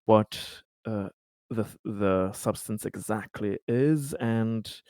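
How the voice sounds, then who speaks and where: A man playing a tabletop roleplaying game, talking through his plans in a halting, deliberate and rather formal way. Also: fairly low pitch, dark tone, no room sound, studio quality. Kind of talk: improvised in-character discussion.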